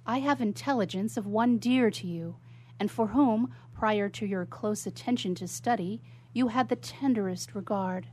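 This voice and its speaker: An actress playing a tender, worried young sister in a radio melodrama, speaking formally and solicitously. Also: female voice, mid-range voice, moderate pace, mellow, natural voice. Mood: gentle, hopeful. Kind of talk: melodramatic 19th-century stage acting in radio theatre.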